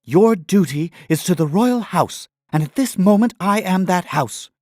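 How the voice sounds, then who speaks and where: Man voicing an imperious young princess, raised pitch. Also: lively intonation, a little slow, darkish tone, no echo, studio quality. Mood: imperious, firm. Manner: imperious, urgent. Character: commanding, headstrong young rebellious princess. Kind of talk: acted dialogue in fantasy audio story.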